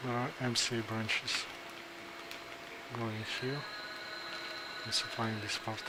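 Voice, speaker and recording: Man, halting procedural commentary. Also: mid-range voice, little pitch movement. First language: Kazakh. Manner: halting, thinks aloud with pauses and restarts. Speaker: interventional neurosurgeon. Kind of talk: live commentary during a procedure. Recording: noisy room background.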